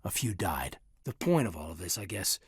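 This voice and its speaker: An actor playing an earnest, reserved military officer in his thirties in a sci-fi video game, speaking in a measured, hesitant, husky voice. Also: deep voice, big pitch swings, no echo, clean studio sound, exaggerated voice. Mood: somber, reflective. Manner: hesitant, measured, sincere, deferential. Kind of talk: video game character dialogue.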